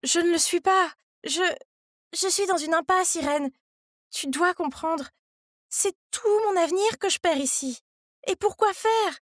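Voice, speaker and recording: A woman voicing a desperate, resentful high school girl, pleading her case in an animated story. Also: unhurried, no echo, studio quality.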